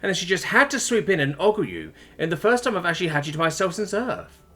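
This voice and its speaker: A man's voice: sits high, sing-song, quick pace, pushed and bright, faint music behind, pitched above the performer's own voice, full character voice. A man voicing a drunk young female soldier in an audiobook story, bitter and self-pitying, blurting things out crudely through tears. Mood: tearful, jealous. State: sobbing, drunk.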